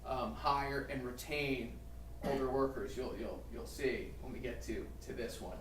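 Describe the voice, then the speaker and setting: A man's voice: medium pitch, fairly even intonation, fairly bright tone. Man speaking distantly in a roomy hall. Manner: conversational, halting, many restarts and fillers. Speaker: employment lawyer presenting a seminar. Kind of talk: seminar talk with a co-presenter. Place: seminar room. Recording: roomy, distant mic with faint room noise.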